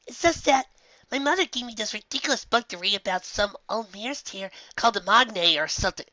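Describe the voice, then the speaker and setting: A narrator voicing an excitable, earnest young female unicorn pupil in a fantasy story, with flustered, exclaiming delivery. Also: medium pitch, a little fast, no room sound, muffled recording, pitched above the performer's own voice. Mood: indignant.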